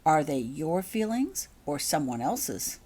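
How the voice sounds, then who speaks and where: A woman reader plays a kindly witch matron who heals at a school, in a storybook tale. She coaches patiently and firmly, giving clear instructions with a widely moving, animated pitch. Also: slow pace, exaggerated voice. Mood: patient, instructive.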